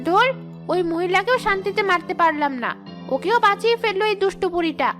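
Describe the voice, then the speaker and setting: Sly female tree ghost, played by a woman, extremely high voice, grumbling cartoon schemer, music behind. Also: animated, fairly quick, fairly bright tone. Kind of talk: cartoon character acting.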